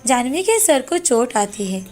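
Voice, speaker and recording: A woman's voice: fairly high pitch, big pitch swings, unhurried, a little mellow. A woman narrator reading a scripted moral fairy tale as storytelling. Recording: background music under the voice.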